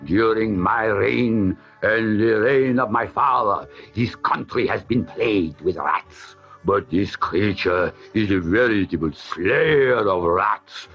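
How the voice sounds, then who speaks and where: A man voicing a pompous, grand male sultan in a storybook reading, speaking slowly and proclaiming. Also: fairly low pitch, fairly bright tone. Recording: slightly dull with faint music.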